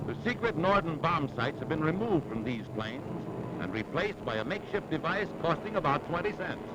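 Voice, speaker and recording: A man's voice: a little high, big pitch swings, fairly quick, heavy background noise. An American man reading a scripted 1940s newsreel narration in a dramatic, urgent, emphatic manner. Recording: vintage 1940s newsreel soundtrack with music. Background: loud dramatic music.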